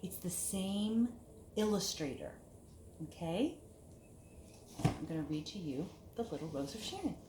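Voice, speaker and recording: A woman reading a picture book aloud to children in an expressive, deliberate storytelling manner, with hushed dips and emphatic lifts. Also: lowish voice. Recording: echoey room with clear background hiss.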